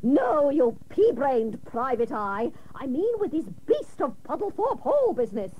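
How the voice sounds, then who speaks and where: An actress playing a bossy, exasperated aunt who scolds and insults theatrically in a comic radio drama. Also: female voice, high voice, big pitch swings, measured pace, lo-fi sound. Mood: scornful, exasperated. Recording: noisy old cassette of radio broadcast.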